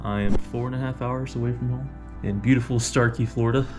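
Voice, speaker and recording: A man's voice: medium pitch, fairly even intonation, fairly quick, over music. A man talking casually to the camera as a vlogger, laid-back and swearing now and then, his nose stuffy from allergies. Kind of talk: casual vlog talk to camera.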